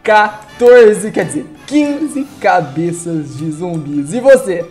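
A young man from Brazil, giving excitable, chatty gameplay commentary on a headset from his home gaming room, sounding congested from a cold. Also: sits high, slow, faint music behind. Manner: excitable, chatty, addresses viewers. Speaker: gaming YouTuber.

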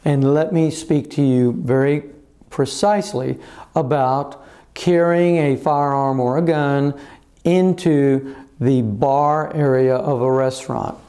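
Older man, attorney explaining law, mellow voice, measured pauses, lapel mic, office. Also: mid-range voice, fairly even intonation. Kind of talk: legal explainer to camera. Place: office with bookshelves, indoors.

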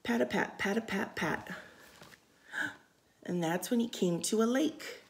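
A woman reading a picture book aloud for storytime, expressive and sing-song, with casual asides. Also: medium pitch, a little slow, gravelly. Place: indoors, room by a window.

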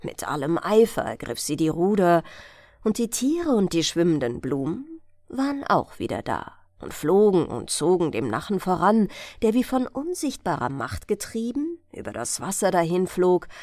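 A woman reading a fairy tale as a bedtime audiobook, in an expressive, measured storytelling manner. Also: fairly low pitch, no room sound. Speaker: audiobook narrator.

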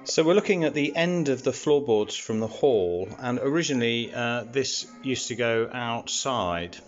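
A man's voice: medium pitch, animated, measured pace, highs cut off, soft background music. A man casually explaining a home renovation job step by step, with frequent fillers. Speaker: DIY renovation vlogger. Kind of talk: casual how-to narration. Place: house under renovation.

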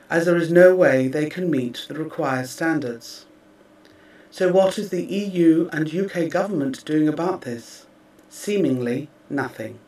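Higher-voiced man narrating, echoey and distant. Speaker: narrator reading a press release. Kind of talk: scripted narration. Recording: roomy, distant microphone.

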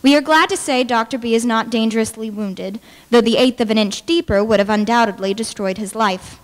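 A woman's voice: on the high side, a little fast. A woman in her twenties reading a historical account aloud formally at a podium microphone in a hall. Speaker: dramatic reader. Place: large indoor hall with brick walls.

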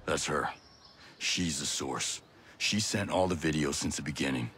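An actor playing a grim, determined special forces soldier in a video game, gruff and terse. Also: male voice, measured pace, background noise. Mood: grim, urgent. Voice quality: gruff, very low voice. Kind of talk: video game cutscene acting.

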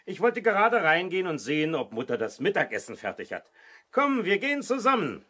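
Shifty uncle type crook in old kids radio play, pitch all over, defiant. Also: male voice, sing-song, fairly quick, pushed and bright, husky, exaggerated voice. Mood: forced cheer. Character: shifty adult male crook, defiant, posing as friendly uncle. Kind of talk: 1970s German children's radio play acting.

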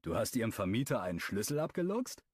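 A man's voice: lowish voice, big pitch swings, fast talker, darkish tone, husky, no room sound, studio quality. A man voicing a cocky, joking young man who teases his friends in an audiobook. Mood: teasing. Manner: mocking, playful.

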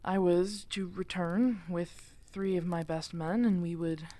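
Woman acting a wounded werewolf alpha lady, asmr roleplay, lowish voice, really slow, halting and breathy, tender. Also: fairly even intonation, mellow, close to an everyday voice. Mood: grieving, subdued. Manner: halting, emotional, often dropping into breathy half-whispers. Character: wounded, guilt-ridden exiled female werewolf alpha, tender and protective. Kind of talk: intimate ASMR roleplay audio drama.

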